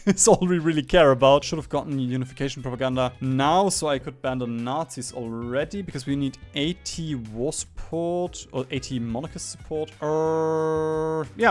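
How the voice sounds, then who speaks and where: A man commentating his strategy game play, animated and often laughing, sometimes swearing when plans go wrong. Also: on the high side. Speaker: gaming YouTuber.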